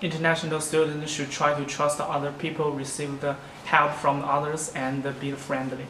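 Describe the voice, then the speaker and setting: Higher-voiced flat man, far off. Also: normal speed. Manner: flat recited statements. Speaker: international student. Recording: echoey room from far off, noisy.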